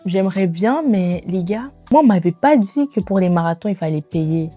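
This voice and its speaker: A woman in her twenties chatting casually to viewers as a lifestyle vlogger, in an animated, informal way with slangy phrasing and bits of English mixed in. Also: medium pitch, normal speed, dry recording. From immigrated to Canada. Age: 20s. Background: background music.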